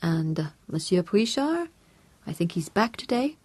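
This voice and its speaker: A suave, calculating man speaks politely and casually, keeping his guard up, in a woman narrator's own low voice. The tone is slightly husky and recorded dry and close. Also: very animated, unhurried, a little mellow, dry recording, full character voice.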